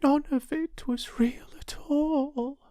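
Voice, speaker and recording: A man voicing a sweet, imaginative little girl in a storybook reading, with a small, breathy, plaintive voice. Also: very high voice, steady tone, slow, warm tone, no echo, studio quality, voice pushed up. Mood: whispering, crushed. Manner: childlike, plaintive.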